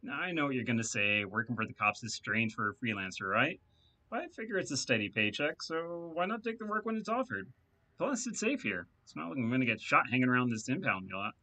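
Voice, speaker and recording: A man's voice: medium pitch, very animated, fairly quick, sharp tone, heavily acted. A man doing a chatty, boastful decker. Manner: rambling, talkative, self-amused.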